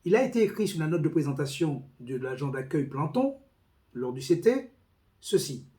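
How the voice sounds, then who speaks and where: A man speaking as a police union representative, giving a formal, deliberate, emphatic report on a meeting. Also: a little high, sing-song, dark tone. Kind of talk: spoken meeting report with quoted documents.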